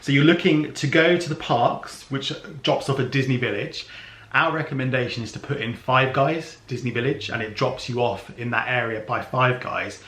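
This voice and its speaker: A man's voice: mid-range voice, normal speed, fairly bright tone. A man vlogging, casually explaining travel plans with many ums. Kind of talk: casual planning chat. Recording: echoey room.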